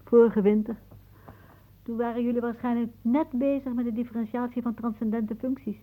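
An actress playing a wry older aunt in a radio play, musing dramatically and haunted by death. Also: female voice, on the high side, flat delivery, fairly quick, lightly acted. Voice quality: husky. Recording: muffled, phone-like old radio recording.

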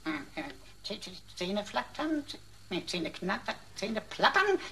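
A woman's voice: medium pitch, very animated, a little slow, crowd noise. A woman playing a cheeky, long-winded know-it-all talking pocket computer in a German radio play, mocking and mock-pompous. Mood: mock-solemn.

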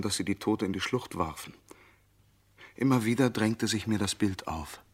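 An actor playing a calm, insinuating eyewitness in a crime radio drama, speaking in a measured, deliberate way. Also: male voice, on the low side, husky. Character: calm, insinuating male eyewitness Gachet confronting a suspect.

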